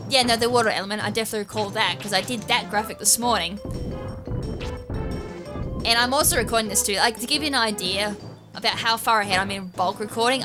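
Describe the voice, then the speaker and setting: A woman giving casual, rambling video game walkthrough commentary, thinking aloud with an occasional stutter. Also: a little high. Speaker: gaming YouTuber, series host. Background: game music.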